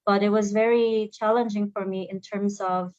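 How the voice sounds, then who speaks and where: A woman's voice: mid-range voice, fairly even intonation, normal speed, a little mellow, no echo, dull audio. A woman from Korea with a Korean accent, English not her first language, talking about her studies in a hesitant way with many fillers and restarts. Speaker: graduate alumna. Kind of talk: conversational panel interview.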